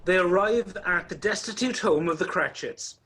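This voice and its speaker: A man's voice: high voice, moderate pace, bright, forceful tone. A man acting as the storytelling narrator of an amateur Christmas radio play, reading a script. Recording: noisy home video-call recording.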